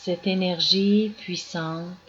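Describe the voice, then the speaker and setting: A woman guiding a yoga nidra meditation as scripted narration, in calm, measured instructions with long pauses. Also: fairly low pitch. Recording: dull lo-fi recording with clear background noise.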